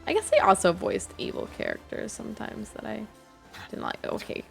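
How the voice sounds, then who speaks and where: A woman's voice: mid-range voice, huge pitch swings, normal speed, vocal fry, faint music behind. A young woman streaming a game, chatting casually and rambling with lots of fillers. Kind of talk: casual chat while gaming.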